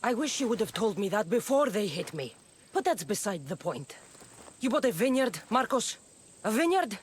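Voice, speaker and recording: Woman playing a tough, sardonic young mercenary, lively pitch. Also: moderate pace, slight background noise, natural voice. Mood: incredulous, sardonic. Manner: dry, sardonic, demanding. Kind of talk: video game cutscene acting.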